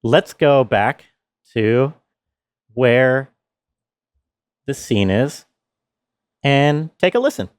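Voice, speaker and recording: A man with a mid-pitched voice gives a behind-the-scenes walkthrough. He speaks slowly and explains things in a measured way. The sound is dry, with hardly any room in it. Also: lively intonation, no room sound. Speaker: sound designer presenting own work.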